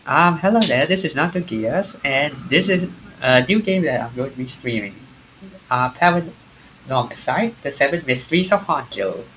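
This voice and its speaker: A man giving casual live stream commentary in a halting, rambling way. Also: fairly high pitch, animated, fairly bright tone, slight background noise, poor audio. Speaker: game streamer.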